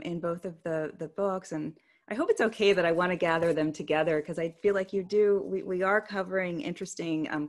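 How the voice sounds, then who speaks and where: Woman moderator, very low voice, hesitant with many ums, headset on a video call. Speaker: panel moderator. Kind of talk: moderating a panel discussion. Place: home study with bookshelves.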